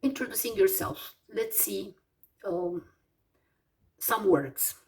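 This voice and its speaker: A Romanian woman in her forties with a Romanian accent, teaching English vocabulary slowly, with many fillers and pauses as she lists words one by one. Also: mid-range voice, lively intonation, creaky, recorded from a distance. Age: 40s. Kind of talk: slow vocabulary lesson.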